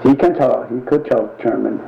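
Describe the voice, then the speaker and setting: An older man answers questions in short, plain phrases, often repeating a quick yeah. His voice is gravelly. The recording is dull and faintly hissy, with an echoey room around him. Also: medium pitch. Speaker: interviewee. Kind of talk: oral history interview.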